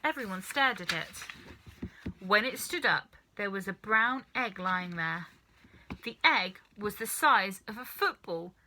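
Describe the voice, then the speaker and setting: A woman reading a children's story aloud as an expressive narrator. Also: mid-range voice, a little slow, slight background noise. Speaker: storybook reader.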